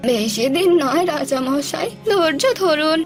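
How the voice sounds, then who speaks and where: A solemn royal healer in a cartoon fairy tale voiced by a woman. The voice is high yet grave and scheming, laying out instructions over soft music. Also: lively intonation, unhurried.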